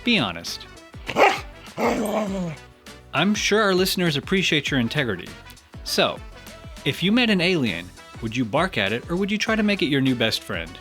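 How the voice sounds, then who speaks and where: A man's voice: on the high side, huge pitch swings, normal speed, long pauses, bright, forceful tone, soft background music. A man hosting a podcast, conducting a playful interview with tongue-in-cheek seriousness. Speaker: podcast host.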